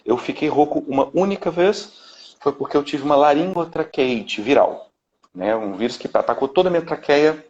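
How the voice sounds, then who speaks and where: Brazilian man in his 30s, vocal coach guest, mid-pitched, chatty and rambling, dull lo-fi call audio. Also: darkish tone. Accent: Brazilian Portuguese. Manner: rambling, frequent "né?" tags and restarts. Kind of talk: casual live-stream interview. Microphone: video call. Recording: dull lo-fi live-stream audio.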